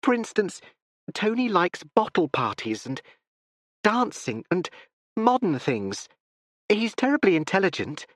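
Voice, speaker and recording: A man's voice: high-pitched, big pitch swings, unhurried, long pauses, a bit bright, dry recording, clean studio sound, voice pushed up, full character voice. A man reading an audiobook and voicing a spirited young woman who pleads breathlessly and urgently. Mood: insistent.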